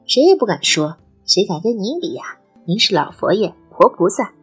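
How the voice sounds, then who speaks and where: A woman's voice: medium pitch, big pitch swings, moderate pace, big-room sound, faint music behind, lightly acted. A woman voicing a doting middle-aged father with a fondness for learned talk, in an audio drama. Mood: teasing. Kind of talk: Chinese audio drama acting.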